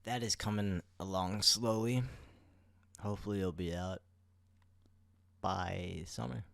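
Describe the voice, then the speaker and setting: A man's voice: low-pitched, steady tone, measured pace, gravelly, fairly bright tone, no echo. A man co-hosting a podcast in informal, playful banter, speaking close to the microphone. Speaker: podcast co-host.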